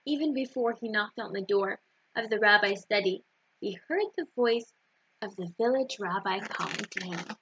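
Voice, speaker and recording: A woman storyteller reading aloud to children in an animated style. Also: medium pitch, moderate pace, slightly rough. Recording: dull, roomy lo-fi recording.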